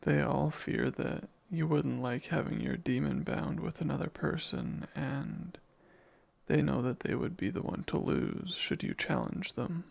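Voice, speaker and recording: A man playing a devoted, jealous and menacing demon in an ASMR romance roleplay, speaking in formal, deliberate phrases with a gravelly voice. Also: medium pitch, big pitch swings, darkish tone, exaggerated voice. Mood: proud, devoted.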